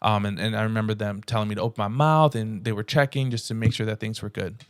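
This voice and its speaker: An earnest young man tells his own story on a podcast, talking casually and catching himself mid-sentence. His voice is smooth and resonant, recorded close and dry with no room sound. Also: on the low side, fast, no room sound. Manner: earnest, conversational, animated retelling with frequent restarts. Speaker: podcast host. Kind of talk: personal storytelling.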